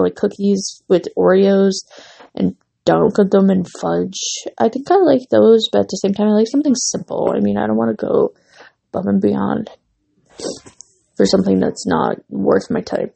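This is a young woman hosting her own casual podcast, drifting from thought to thought with lots of fillers. Her voice is husky and dark, with a gravelly edge, and she sounds stuffy and worn, as though she's losing it. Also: medium pitch, steady tone, moderate pace. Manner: rambling, lots of fillers and restarts. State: losing her voice, runny nose. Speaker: podcast host. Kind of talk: casual solo podcast chat.

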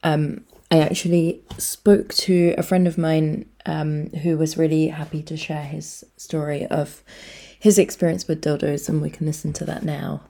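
A woman host with a very low, gravelly voice that stays flat. Her tone is mellow and dark. She speaks in a calm, measured way. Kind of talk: casual discussion.

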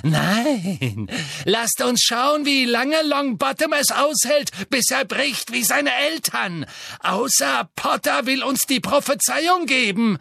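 A man putting on a shrill gloating witch. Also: big pitch swings, gravelly, a bit bright, no echo, full character voice. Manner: shrill, gloating, drawn-out taunts. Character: cruel manic dark witch, woman.

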